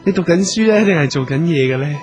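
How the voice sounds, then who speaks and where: A man with a Hong Kong accent playing an excitable, fussy talent manager in a comic radio drama, hesitant and flustered. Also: on the high side, fast talker, faint music behind, lightly acted. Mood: businesslike, probing. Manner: hesitant, flustered, full of exclamations.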